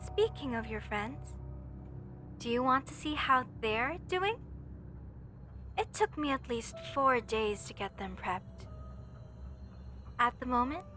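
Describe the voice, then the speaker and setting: A woman playing an obsessed, lovesick mortician in a horror audio roleplay, speaking tenderly and deliberately with sweet menace. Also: a little high, bright, forceful tone, exaggerated voice. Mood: gleeful menace. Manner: slow, tender, deliberate, theatrical. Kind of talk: horror roleplay monologue addressed to listener.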